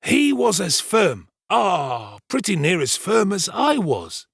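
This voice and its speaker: A man voicing a pompous, self-important male servant in a storybook reading, with drawn-out, emphatic delivery. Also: high-pitched, wildly swooping pitch, creaky, breathy edge, no room sound, studio quality, voice pushed up, exaggerated voice.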